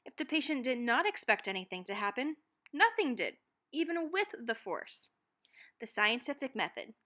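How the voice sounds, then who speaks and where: Bright, high-voiced woman reading a storybook. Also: big pitch swings. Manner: dramatic, deliberate emphasis on key phrases. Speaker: read-aloud narrator. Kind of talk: expressive children's picture book read-aloud.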